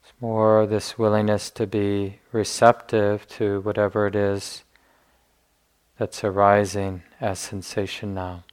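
Slow, even-toned man guiding meditation. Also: on the low side, smooth. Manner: gentle, unhurried phrasing with long pauses. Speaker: meditation teacher. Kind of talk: guided meditation instruction.

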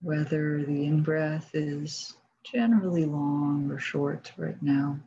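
A woman leading a guided meditation as a teacher, speaking calmly and gently with long pauses in a soft, husky voice. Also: low-pitched. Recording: dull, muffled lo-fi recording.

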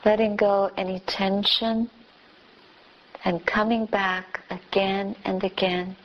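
Slow-speaking woman guiding meditation. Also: medium pitch, level tone. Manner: gentle instruction in short, spaced phrases. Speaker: meditation teacher. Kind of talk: guided meditation. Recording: dull, lo-fi recording.

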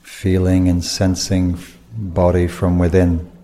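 A man's low, soft-edged voice guides a meditation. He speaks very slowly, in measured phrases separated by long pauses. The tone is dark and relaxed, that of a teacher leading practice.

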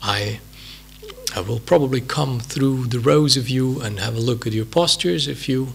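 Male meditation teacher, Swiss accent, very gravelly and a bit husky, slow reflective delivery. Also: medium pitch. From Switzerland. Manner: unhurried, reflective, many pauses and fillers. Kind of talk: dharma talk at a retreat.

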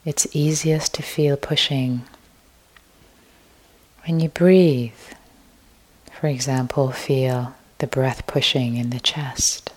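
A woman meditation teacher leading a guided meditation in a gentle, hushed way, leaving long pauses. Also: extremely low pitch, fairly even intonation.

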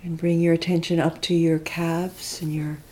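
A woman teacher leading a guided body scan meditation, speaking in gentle, reflective, unhurried instructions with long pauses. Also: deep voice, flat delivery.

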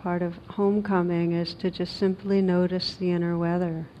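A woman meditation teacher leading a guided meditation in a gentle, unhurried manner with long pauses, on a recording with some noise. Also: little pitch movement. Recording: dull recording with noise and rumble.

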